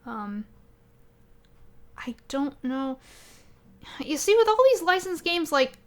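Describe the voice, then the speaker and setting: A woman with a high voice co-hosts a casual gaming podcast. She talks in an expressive, animated way and often slips into quoting imagined remarks. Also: takes it slowly. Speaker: podcast co-host. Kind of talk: casual chat about video games.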